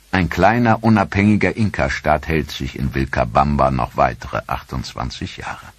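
A man narrating a history documentary in a measured, solemn, scripted style. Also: a bass voice, slightly rough, dry recording.

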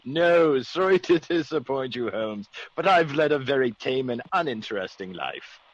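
Genteel, easygoing English gentleman, classic radio drama: husky, lively intonation, dull old recording. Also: medium pitch, a little slow. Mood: genial, dismissive. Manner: polite, old-fashioned upper-class exclamations.

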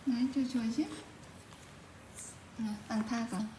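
A woman's voice: takes it slowly, warm tone. A woman chatting casually with a small child, coaxing with short, repeated prompts. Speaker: family member filming a young child. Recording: roomy echo, faint background noise.

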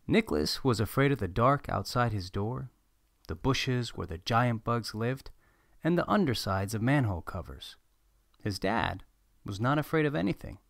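Animated man reading a picture book, dry sound. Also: mid-range voice, no room sound. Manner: animated storytelling. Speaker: read-aloud host. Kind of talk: expressive picture book narration.

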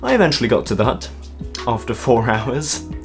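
A young man narrating his own hiking vlog in a relaxed, chatty way from his bedroom, with a light laugh at himself now and then. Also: medium pitch, normal speed. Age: young adult. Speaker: vlogger. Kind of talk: casual travel vlog narration. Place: bedroom, indoors. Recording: music bed under the voice.

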